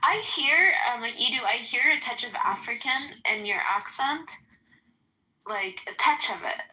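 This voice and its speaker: Woman, very bright voice, chatty, lots of fillers. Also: a little high, lively intonation, moderate pace, rough recording. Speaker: participant in group call. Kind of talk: casual chat.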